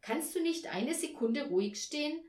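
A woman's voice: high voice, lively intonation, normal speed, darkish tone, roomy sound, voice pushed up. A woman voicing an irritable, short-tempered mother who complains in a heated, emphatic way, in a storybook reading. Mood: annoyed.

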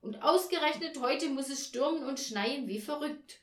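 A woman's voice: high-pitched, moderate pace, roomy sound, voice pushed up, natural voice. A woman voicing an irritable, short-tempered mother who complains in a heated, emphatic way, in a storybook reading. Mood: frustrated, snappish.

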